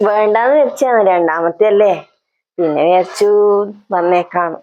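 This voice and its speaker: A woman's voice: lowish voice, moderate pace, darkish tone, lo-fi sound, lightly acted. A woman playing a tactless, complaining visiting village aunt in a comedy sketch, with a Kannur accent. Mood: nosy, backhanded. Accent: Kannur, north Kerala. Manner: backhanded, complaining.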